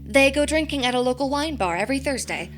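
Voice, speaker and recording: An actress playing a menacing corporate branch manager in an audio drama, composed, condescending and businesslike. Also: female voice, high voice, expressive, fast talker, slight background noise. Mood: quietly threatening.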